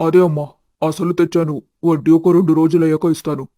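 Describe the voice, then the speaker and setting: A man voicing a poor, humble elderly debtor in an animated moral story, with a deferential manner. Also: fairly high pitch, a little fast, no room sound, clean studio sound, natural voice. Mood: pleading.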